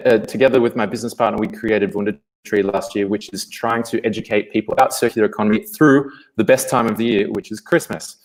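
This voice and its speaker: A man's voice: fairly low pitch, low-quality recording. A startup co-founder introducing his project at a panel, hesitant with many fillers.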